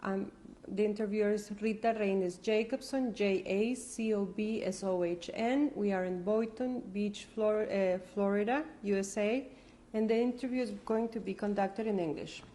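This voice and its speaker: A woman's voice: medium pitch, fairly even intonation, normal speed, mellow. A woman conducting an oral history interview, formal and careful, spelling out names.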